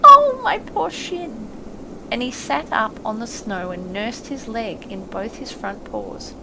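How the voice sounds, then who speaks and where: A woman's voice: mid-range voice, measured pace. A woman narrating an audiobook story expressively from a script. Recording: clearly noisy, ambient effects behind. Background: ambient sound effects.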